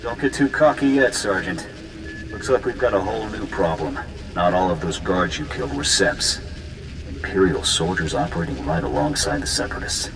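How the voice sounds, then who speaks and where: An actor playing a steady military officer giving a briefing in a video game cutscene, in an echoing recording. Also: male voice, sits low, moderate pace. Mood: businesslike. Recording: roomy echo, music behind.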